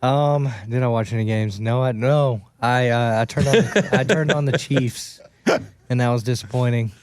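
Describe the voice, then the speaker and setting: A man with a hoarse voice chatting on a football podcast, laid-back and poking fun at himself. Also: medium pitch, normal speed, darkish tone, dry recording. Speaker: podcast co-host. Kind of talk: casual sports podcast chat.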